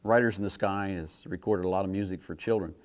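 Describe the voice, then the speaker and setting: A man hosting a podcast interview, delivering prepared questions in a measured way. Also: low voice, fairly even intonation.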